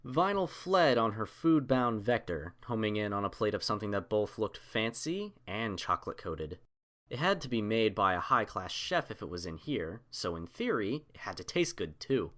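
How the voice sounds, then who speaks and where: A man's voice: lowish voice, big pitch swings, normal speed, a bit bright. A man reading scripted fiction aloud as the narrator of a story.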